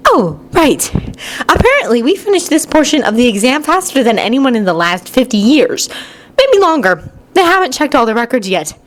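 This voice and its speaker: Bossy teenage kunoichi for story narration acted by a woman: high, sharp, swooping. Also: sing-song, normal speed. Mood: matter-of-fact. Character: bossy, exasperated teenage ninja girl.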